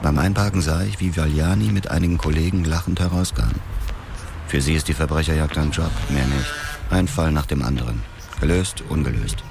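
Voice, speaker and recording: An actor playing a grief-haunted, obsessive man who narrates a radio crime drama in a brooding, measured way. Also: very deep voice, vocal fry, background noise. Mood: brooding, tense. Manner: brooding, measured first-person telling. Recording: music behind the voice.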